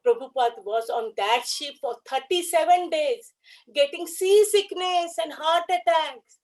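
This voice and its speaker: A woman giving an earnest devotional tribute talk, speaking in short phrases with pauses. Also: high voice, darkish tone, rough recording.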